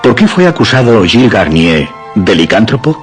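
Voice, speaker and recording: A man's voice: on the low side, sing-song, moderate pace. A man giving dramatic scripted narration for suspense radio theatre. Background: music.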